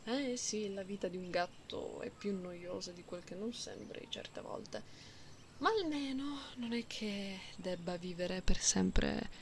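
A woman voicing an affectionate, playful catgirl in an intimate, soothing ASMR roleplay, breathy and often dropping to a whisper. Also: mid-range voice, slight background noise. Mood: bored, wistful. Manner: soothing, teasing, lingering.

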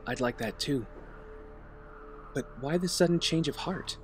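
A man's voice: fairly high pitch, measured pace, faint music behind, natural voice. Man acting as guarded young vigilante hero. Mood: guarded, courteous. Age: young adult. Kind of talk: audio drama acting.

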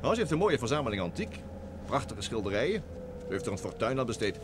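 A man's voice: medium pitch, big pitch swings, fast talker, lightly acted. Actor as a breezy, genial man, noisy recording. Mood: genial, familiar. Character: genial, easygoing male driver and guide, quick to chuckle. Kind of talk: vintage Dutch radio play acting. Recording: noisy radio play, vehicle sound.

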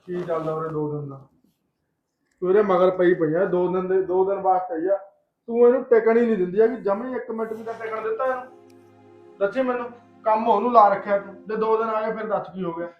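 A man's voice: sits high, fairly even intonation, fairly quick, roomy sound, phone-quality sound, faint music behind, close to an everyday voice. A man playing a stern, protective elder brother and head of household in a family drama, insistent in manner. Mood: angry, reproachful. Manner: insistent, reproachful. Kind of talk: Punjabi domestic drama dialogue.

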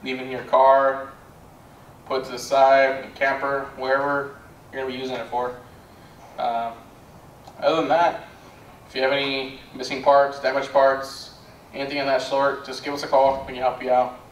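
A man's voice: mid-range voice, level tone, normal speed, long pauses. Man demonstrating from across an echoey room. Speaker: product demonstrator. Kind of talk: casual product assembly demonstration. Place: bare indoor room with carpet. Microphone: far camera. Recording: roomy echo, distant mic, background noise.

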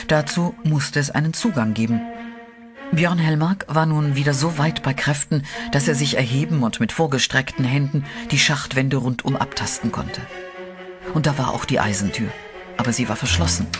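A man giving steady, measured scripted narration for a German horror radio play. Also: mid-range voice.

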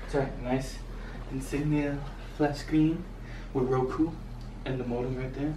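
A young man giving a joking, relaxed tour of a small apartment for a vlog. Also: medium pitch, a little slow, crowd noise. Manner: joking, relaxed, plays host. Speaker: vlogger. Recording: noisy handheld home video.

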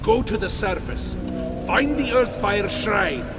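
An actor playing a gruff, cantankerous old dwarf clan leader in a video game, blunt and hearty. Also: male voice, high-pitched, animated, slow pace, exaggerated voice. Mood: earnest, dry. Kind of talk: video game cutscene acting. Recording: loud music under the voice.

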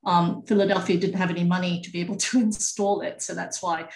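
A woman's voice: on the low side, fairly even intonation, brisk, a little mellow, reverberant, a little hiss or hum. A woman museum curator explaining things thoughtfully over a video call. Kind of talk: panel discussion answer.

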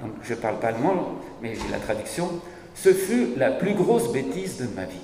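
Older male speaker, hoarse, ironic, with a lively rising and falling voice; desk mic in a big reverberant hall. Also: mid-range voice, moderate pace, soft background music. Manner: ironic, animated, gestures while speaking. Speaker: speaker at a public debate. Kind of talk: lively public talk on philosophy. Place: large hall, seated at a panel table. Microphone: desk mic on a stand. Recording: echoey hall from a distance.

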